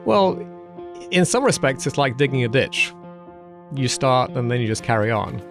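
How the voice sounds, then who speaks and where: A man's voice: mid-range voice, animated, normal speed, over music. A middle-aged man with a mixed South London and American accent, speaking thoughtfully as a podcast guest, hesitant, with fillers and restarts. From England. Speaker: guest, Old Testament scholar. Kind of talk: relaxed podcast conversation.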